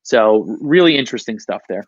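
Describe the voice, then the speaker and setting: A man speaking as an interview guest on a podcast, explaining things in a measured conversational way over slightly lo-fi audio. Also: mid-range voice, expressive, dry recording. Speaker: podcast guest, podcast industry professional.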